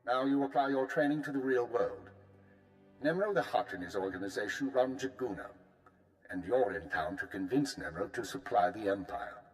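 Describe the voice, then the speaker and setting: An actor playing a male intelligence handler briefing a field agent in a crisp, authoritative way. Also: medium pitch, animated, moderate pace, faint music behind, close to an everyday voice, rough recording. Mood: commanding. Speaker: spymaster giving orders. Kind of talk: video game voice acting.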